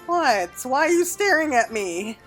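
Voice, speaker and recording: A woman's voice: high-pitched, very animated, unhurried, fairly bright tone. Woman chatting over game music. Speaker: gaming video host. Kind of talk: casual game playthrough commentary, reading dialogue aloud. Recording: background music under the voice.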